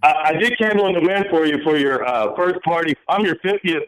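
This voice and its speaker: A man in his forties chatting casually as a radio caller, eager and a little halting. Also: on the high side, lively intonation, poor audio. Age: 40s. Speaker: caller auditioning as a singer. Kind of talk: casual phone chat.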